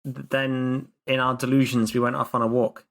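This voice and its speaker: A man taking part in a tabletop game, trading wry, joking remarks. His voice is a middle-pitched speaking voice. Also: level tone, normal speed, gravelly. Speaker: player. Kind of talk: casual tabletop roleplay.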